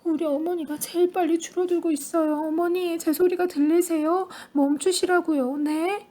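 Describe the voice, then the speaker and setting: A woman voicing an anxious middle-aged mother whose voice turns shrill and pleading in an audiobook story. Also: fairly even intonation, moderate pace, mellow. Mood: panicked.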